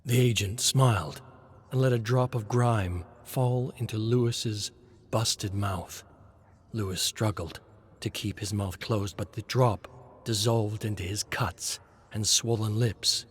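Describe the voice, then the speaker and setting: A man's voice: on the low side, slow pace, a little hiss or hum. A man narrating a scripted suspense story as a narrator.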